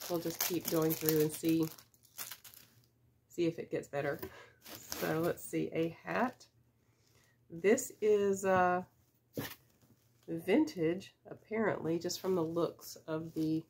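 Woman in her fifties, lowish voice, a bit stuffy from a cold; easygoing reseller chat at desk distance, faint hiss behind. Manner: chatty, unhurried, with small laughs and fillers. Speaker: reseller vlogger. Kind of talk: casual unboxing chat. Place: small room with shelves of boxes, indoors. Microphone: camera at desk distance.

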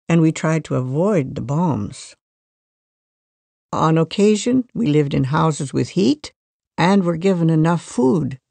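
Gravelly voice, dry close recording, measured scripted reading, first-person life story. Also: medium pitch, warm tone, no echo. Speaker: reader of a magazine life story.

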